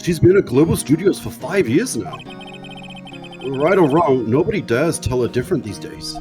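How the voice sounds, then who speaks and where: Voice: mid-range voice, animated, moderate pace, natural voice. A streamer playing a timid, sad male murder suspect in an amateur live game dub, speaking hesitantly. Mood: explanatory. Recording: music playing behind the voice.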